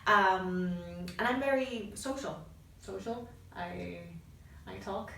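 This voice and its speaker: A woman from Spain with a Spanish accent, co-hosting a podcast in casual, energetic chat and laughing often. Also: mid-range voice, slow pace, vocal fry. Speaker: podcast co-host. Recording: echoey room, mic at a distance.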